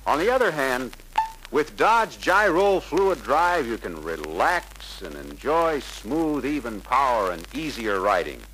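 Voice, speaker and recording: Young male salesman: polite, persuasive, forceful bright voice, faint noise of an old 1950s film soundtrack. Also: medium pitch, very animated, a little slow, low-quality recording. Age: young adult. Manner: deferential, persuasive, earnest. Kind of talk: 1950s sales pitch acting.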